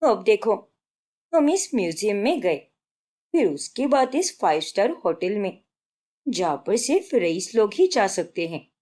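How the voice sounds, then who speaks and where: A woman's voice: mid-range voice, very animated, measured pace, dark tone. A woman voicing a showy, boastful young newlywed daughter-in-law in a story, insistent and bragging, dropping in English words. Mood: indignant, boastful.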